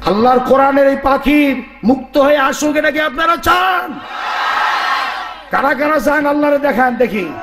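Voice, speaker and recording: High-pitched hoarse preacher man, echoing. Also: normal speed, noisy background. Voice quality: hoarse, strained. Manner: declamatory, rhetorical, calling on listeners to respond. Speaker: Islamic preacher. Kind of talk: fiery sermon to a live congregation. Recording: echoey PA sound, faint crowd.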